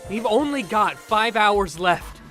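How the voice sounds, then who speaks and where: Man acting excitable, anxious young college guy. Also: high voice, slow, faint music behind, full character voice. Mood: outraged, panicked. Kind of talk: fan dub game acting.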